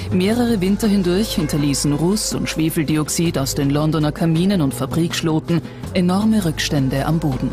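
A narrator reading a scripted documentary in a measured, formal way. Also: medium pitch. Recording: music bed under the voice. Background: background music.

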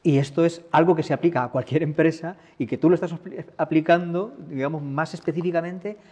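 A man's voice: on the high side, fairly even intonation, brisk, a little mellow. A middle-aged man interviewing a guest on a conference stage, thinking aloud in loose, searching sentences. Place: large conference hall.

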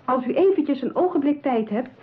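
A woman's voice: sits high, expressive, fairly quick, phone-quality sound, background noise. An actress playing a polished, pushy saleswoman with assured sales patter in a radio drama. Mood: soothing, persuasive.

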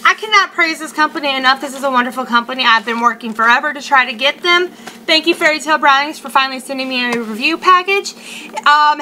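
A woman's voice: high voice, sharp tone. A woman in her thirties giving a chatty, emphatic food review to camera in a casual unboxing style, recorded on a webcam in a living room. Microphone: webcam or laptop mic at arm's length. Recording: faint noise, home webcam sound.

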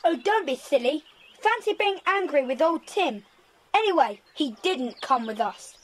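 A cheerful young boy adventurer in a children's audio play. The voice is very high, chirpy and matter-of-fact. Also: lively intonation, unhurried. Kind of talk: children's audio drama acting.

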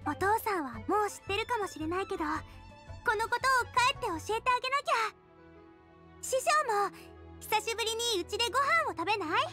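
A woman voicing an earnest, soft-hearted teenage girl cook in a Japanese anime-style game. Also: very high-pitched, expressive, fast talker, faint music behind, heavily acted. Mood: friendly, caring.

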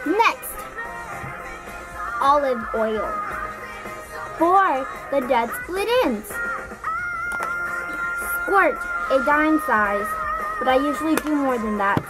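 Slow, high-voiced young woman in her bedroom. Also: poor audio. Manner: relaxed, explaining steps. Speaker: vlogger. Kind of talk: morning routine vlog. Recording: loud background music.

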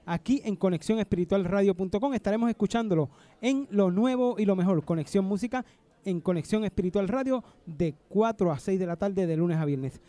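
A man in his forties hosts an interview with announcer-like polish. His voice sits high but soft and mellow. He speaks into a handheld mic at an indoor expo booth. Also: fairly quick, dry recording. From Puerto Rico. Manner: friendly, announcer-like delivery. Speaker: radio host. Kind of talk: radio interview.

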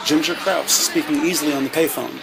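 A man's voice: a little high, steady tone, a little fast, vocal fry, over a noisy crowd, heavy background noise. A man reading scripted narration for an audio drama.

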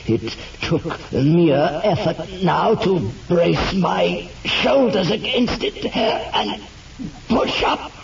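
An actor playing a tormented, rapturous man in an old radio horror monologue, theatrical and halting, repeating words in wonder. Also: sits high, animated, gravelly, slightly rough, full character voice. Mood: striving, elated. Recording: muffled old radio recording, heavy noise.

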